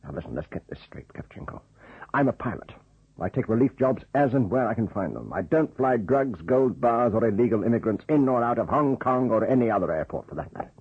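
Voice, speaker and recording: An actor in an old radio drama playing a blunt, sardonic, indignant charter pilot. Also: male voice, medium pitch, animated, fairly quick, slightly rough, no room sound. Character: gruff, plain-spoken, indignant male charter pilot and major. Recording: muffled, narrow-band old radio recording.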